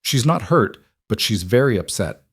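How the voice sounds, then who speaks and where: A man's voice: lowish voice, very animated, moderate pace, breathy edge, dry recording, full character voice. Male narrator as a woman school principal in an audiobook, formal and concerned, very dry sound. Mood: concerned, professional.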